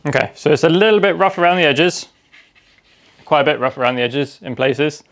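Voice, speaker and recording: A man explaining a woodworking job step by step in a relaxed, practical way while he works in his home workshop. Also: fairly high pitch, a little fast. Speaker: DIY workshop host. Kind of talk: casual how-to narration while working. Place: cluttered home workshop, indoors.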